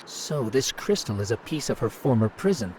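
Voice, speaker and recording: A man's voice: mid-range voice, sing-song, moderate pace, noisy background, natural voice. A man playing a small, loyal robot companion in a video game, speaking in an eager, chatty, earnest way. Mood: curious. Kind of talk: video game character acting. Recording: loud music over game dialogue.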